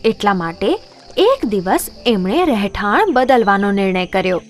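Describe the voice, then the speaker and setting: A woman narrating a children's story in an expressive, scripted storybook style. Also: mid-range voice, normal speed, faint music behind.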